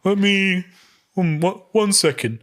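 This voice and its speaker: A man's voice: sits high, slow pace, fairly bright tone. A man playing a tabletop game, casually improvising what his character does in a hesitant, rambling, amused way. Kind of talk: casual improvised roleplay chat.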